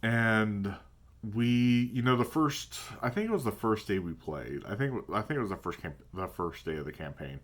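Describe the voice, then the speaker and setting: A man with a deep voice talking casually in a vlog about his tabletop gaming, thinking aloud and hesitating as he goes. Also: lively intonation, moderate pace. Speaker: tabletop game player. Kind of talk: casual reflective vlog talk.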